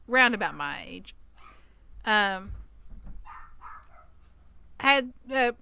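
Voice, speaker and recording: A woman in her thirties co-hosts a podcast in an easy, chatty way, her voice bright and crisp. She slips into lively quoted voices as she tells the story, sitting a little higher in pitch than most. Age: 30s. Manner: animated storytelling, acts out quoted people. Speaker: podcast co-host. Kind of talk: casual chat.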